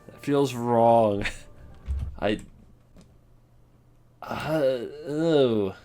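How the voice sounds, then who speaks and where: A man's voice: mid-range voice, a little mellow. A man giving casual, off-the-cuff ranking commentary, rambling and jokey in a self-deprecating way. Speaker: video creator ranking game voice lines.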